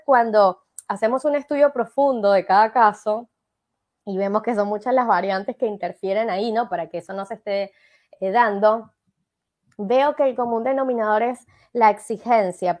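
Venezuelan woman coach, fairly high voice. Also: darkish tone. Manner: explanatory, many fillers and tag questions. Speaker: life coach guest. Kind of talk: interview conversation.

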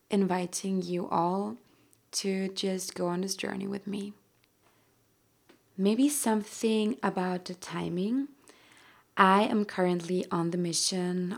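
A young Austrian woman talks slowly through her own podcast, her voice sitting a little low for a woman. She speaks with an Austrian German accent and rambles gently, with soft laughs and plenty of "um"s. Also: gravelly. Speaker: podcast host. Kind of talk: casual solo podcast monologue.

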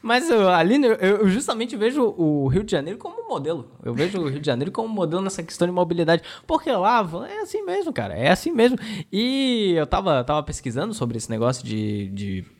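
Sarcastic woman with lively pitch. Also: brisk. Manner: ironic, mock-earnest, swearing. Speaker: podcast co-host. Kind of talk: sarcastic comedic chat.

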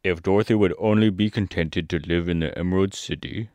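A man's voice: sits low, fairly quick, a little mellow, no room sound, natural voice. A man voicing a self-satisfied, practical scarecrow ruler in a storybook reading.

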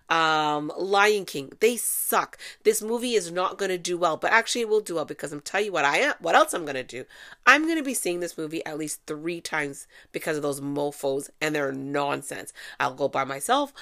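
A woman's voice: low-pitched, fairly bright tone. A woman hosting her own podcast alone at home, talking in a chatty, sarcastic, rambling way. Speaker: podcast host. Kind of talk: casual solo podcast monologue. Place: at home, fan running.

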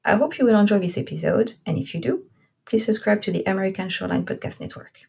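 A woman's voice: medium pitch, quick pace, vocal fry, darkish tone, soft background music. A woman in her thirties from Corsica with a French accent, hosting a podcast, reading her intro carefully and then chatting with a guest. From Corsica, France. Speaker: podcast host. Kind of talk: scripted intro then casual interview.

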